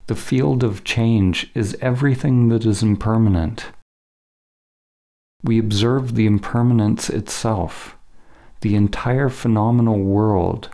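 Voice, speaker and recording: Male narrator, slow delivery, heavy vocal fry, deliberate short phrases, scripted meditation teaching. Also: on the low side, steady tone, mellow.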